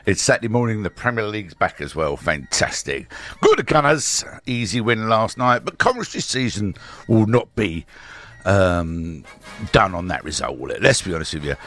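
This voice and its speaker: Hoarse, chatty male radio sports host. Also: on the low side, expressive, moderate pace, a bit bright. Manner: chatty, rambling, many filler sounds. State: hoarse with a slight cold. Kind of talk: casual local radio chat. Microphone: studio microphone.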